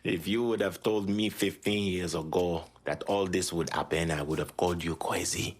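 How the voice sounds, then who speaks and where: A man playing a grieving, embittered middle-aged Haitian gang boss in a video game, with a Haitian accent, speaking gravely and deliberately. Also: fairly even intonation. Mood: solemn, resigned. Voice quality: husky, low voice. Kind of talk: dramatic video game dialogue.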